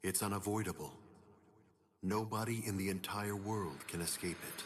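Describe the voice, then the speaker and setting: An actor playing a solemn, ominous narrator in a game cutscene, speaking in a grave, formal, measured manner. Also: male voice, sits low, very noisy, natural voice. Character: solemn, ominous male narrator of death and souls.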